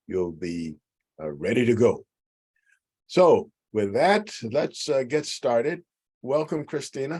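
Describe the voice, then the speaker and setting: Husky low-voiced male host. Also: darkish tone. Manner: measured, inclusive, hesitant with uhs. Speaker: interviewer, host. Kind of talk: hosting a public interview.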